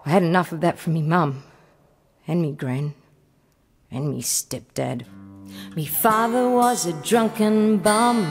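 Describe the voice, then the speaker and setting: A woman's voice: fairly low pitch, takes it slowly, long pauses. An Australian woman acting in a radio play as a wary, streetwise young runaway, plain-spoken and colloquial. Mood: confiding. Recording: music under the voice.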